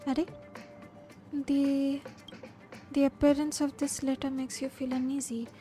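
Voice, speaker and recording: A woman's voice: high voice, level tone, measured pace, warm tone. A woman with an Indian accent doing casual live game commentary, reading dialogue aloud and switching between Hindi and English. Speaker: game streamer. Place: voice over game audio on a live stream. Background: faint game music.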